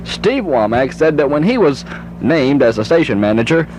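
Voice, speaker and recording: A man's voice: mid-range voice, big pitch swings, normal speed, darkish tone. A man reading a comic news bulletin as a college radio disc jockey, with theatrical joke-telling delivery. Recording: noisy 1970s radio aircheck tape.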